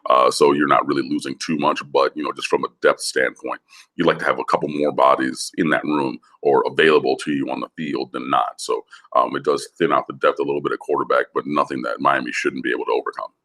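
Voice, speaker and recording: A man with a gravelly, hoarse voice, talking football casually over the phone with lots of fillers. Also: extremely low pitch, little pitch movement, fast talker, a bit bright. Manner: rambling with many fillers. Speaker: guest analyst. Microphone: phone line.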